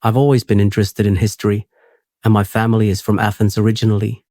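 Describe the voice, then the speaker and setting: A man reading the lines of a woman historian guest in a steady, even voice. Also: fairly low pitch, fast, dry recording, studio quality, natural voice. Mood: earnest.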